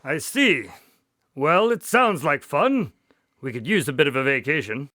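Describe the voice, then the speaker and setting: An actor playing an easygoing, practical detective's sidekick in a radio drama, casually reasoning aloud. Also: male voice, on the high side, sing-song, a little slow, pushed and bright, dry recording, clean studio sound, exaggerated voice. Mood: wry, agreeable. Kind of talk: modern radio drama acting.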